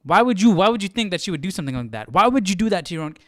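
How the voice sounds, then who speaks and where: A man's voice: high-pitched, sing-song, racing, fairly bright tone, no room sound, studio quality. A man hosting a live Bible study, reacting casually and incredulously, often repeating himself.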